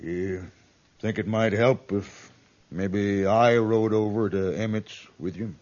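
An actor playing a bitter, selfish old rancher father in a 1950s radio western, with a raspy voice that moves between anguish and fury. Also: on the low side, fairly even intonation, slow pace. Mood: subdued, hesitant. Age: older. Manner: volatile, from anguished shouts to broken murmurs. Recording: dull vintage radio recording.

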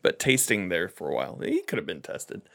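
A man's voice: low voice, huge pitch swings, fairly quick, creaky, fairly bright tone. A man hosting a casual chat, rambling loosely and joking at his own expense. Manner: loose, rambling, self-deprecating jokes. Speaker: podcast host.

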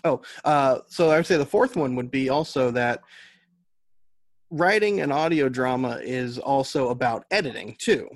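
An American man is being interviewed and explains his work in a conversational way. His voice sits at a middling pitch with very little room sound. He thinks his points through aloud as he goes. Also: animated, moderate pace, dry recording. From United States. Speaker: podcast guest, novelist and audio drama writer.

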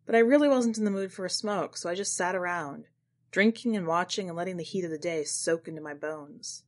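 A woman narrating a first-person literary short story for a fiction podcast, in a scripted read-aloud style. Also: on the low side. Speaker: podcast fiction reader and editor.

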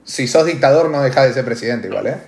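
A man's voice: medium pitch, quick pace, creaky, a little mellow. Male podcast host, Argentine accent, opinionated and emphatic casual delivery, echoing room sound. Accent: Argentine Spanish. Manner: emphatic, repeats phrases for stress. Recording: echoey room.

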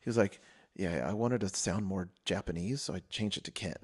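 A man's voice: low voice, a little fast, slightly rough. A young man co-hosting a podcast, telling loose, rambling stories in casual chat and swearing freely. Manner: loose, rambling, swears a lot, animated storytelling. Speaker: podcast co-host.

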